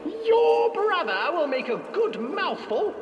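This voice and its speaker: A man's voice: extremely high pitch, big pitch swings, slow, highs cut off, voice pushed up, exaggerated voice. A man voicing a sly, cruel old witch in a storybook tale, wheedling and imperious as she menaces children. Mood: menacing, gloating. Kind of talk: theatrical fairy-tale storybook acting. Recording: echoey hall, distant, music behind.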